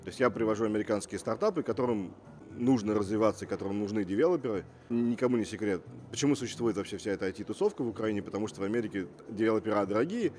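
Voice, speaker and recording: A man's voice: lowish voice, lively intonation, rapid-fire, darkish tone. A man who grew up in Russia, speaking as a guest entrepreneur in an interview, assertive and fond of rhetorical questions. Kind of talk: casual interview talk.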